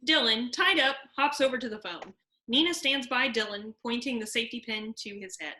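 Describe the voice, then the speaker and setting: A woman's voice: on the high side, brisk, sharp tone. A woman narrating stage directions in a scripted comedy table read. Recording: roomy echo.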